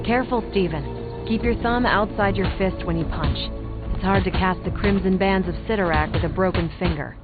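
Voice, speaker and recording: An actress playing a breezy, wry and confident young heroine in a video game, with a casual, teasing way of talking. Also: female voice, on the low side, moderate pace, lightly acted. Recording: phone-like sound over clear background music.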